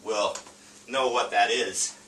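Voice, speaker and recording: A man gives an informal classroom-style lesson in a bright, mid-pitched voice. He is hesitant and halting, often stopping on fillers. The sound is roomy, with the mic some way off. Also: steady tone. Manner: hesitant, halting, with frequent long filler pauses. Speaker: teacher presenting a reading lesson. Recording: roomy, distant mic with faint noise.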